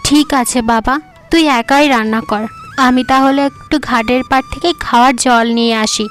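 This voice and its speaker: Woman doing a kind elder village wife, gentle, coaxing, high voice, faint music. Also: moderate pace.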